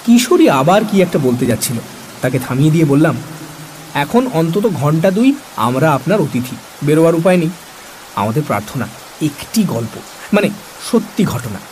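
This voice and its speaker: An actor playing a curious, coaxing listener who narrates an audio horror story in an expressive, literary way. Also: male voice, a little high, normal speed, long pauses, poor audio. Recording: faint noise under the voice. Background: rain sounds.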